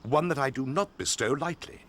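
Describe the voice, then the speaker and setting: An actor playing a stiff, pompous aristocrat with an upper-class English accent in a radio drama. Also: male voice, mid-range voice, expressive, a little fast, fairly bright tone, a little hiss or hum, natural voice. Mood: stiffly proud. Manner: formal, pompous.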